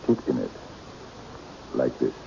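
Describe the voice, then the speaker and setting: An actor playing a mysterious, courtly and commanding eccentric man with a gravelly, deliberate delivery, in old-time radio mystery style. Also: warm tone, natural voice. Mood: courteous, controlled. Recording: dull, noisy old radio recording.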